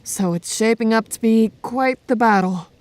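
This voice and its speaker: Woman playing a wry, higher-voiced young heroine. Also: moderate pace, a little mellow, no room sound, lightly acted. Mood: concerned. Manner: casual, wry. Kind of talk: radio drama acting.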